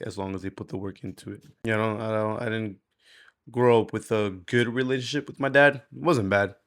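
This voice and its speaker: A man, speaking candidly in a rambling, slangy, sweary way, as in a casual confessional video diary. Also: lowish voice. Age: 20s. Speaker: vlogger.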